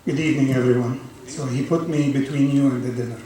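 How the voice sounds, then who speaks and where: A man's voice: mid-range voice, steady tone, fast, distant mic, crowd noise. A man from Lebanon, a board chairman, telling an earnest story in a speech at a community dinner. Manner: storytelling, earnest, warm-hearted. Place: large banquet hall. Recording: echoey hall, mic heard from afar.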